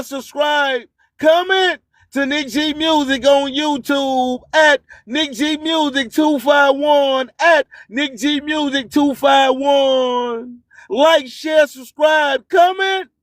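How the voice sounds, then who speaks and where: High-pitched man hosting radio, slow and emphatic. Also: no room sound. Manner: emphatic, declamatory, repeats phrases. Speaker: internet radio host. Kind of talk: hype radio promo, repeated slogans.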